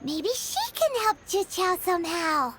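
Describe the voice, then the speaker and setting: A woman's voice: very high voice, lively intonation, unhurried, heavy background noise. A woman voicing a tiny, curious, eager childlike fairy companion in a cartoonish video game, with game music behind her.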